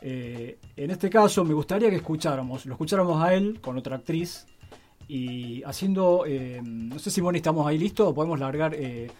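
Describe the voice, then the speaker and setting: A man with an Argentine accent giving a casual, halting, tongue-in-cheek radio column. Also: a little high, expressive, darkish tone, faint music behind.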